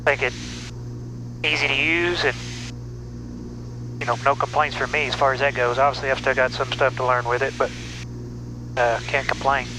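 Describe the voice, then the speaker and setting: A man's voice: medium pitch, little pitch movement, moderate pace, long pauses. An American man, a student pilot, chatting casually over a headset intercom from the back of a small plane. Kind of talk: casual chat. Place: back seat of small airplane. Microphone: aviation headset mic. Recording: loud aircraft hum, headset intercom.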